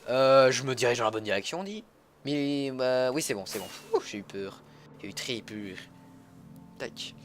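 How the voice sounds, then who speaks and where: A man's voice: medium pitch, expressive, normal speed. A young man from Alsace, chatting casually while streaming a game, greeting viewers and correcting himself mid-sentence. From Alsace, France. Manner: chatty, self-interrupting, greets chat viewers. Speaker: livestreamer. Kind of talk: casual gameplay livestream commentary. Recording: music playing behind the voice.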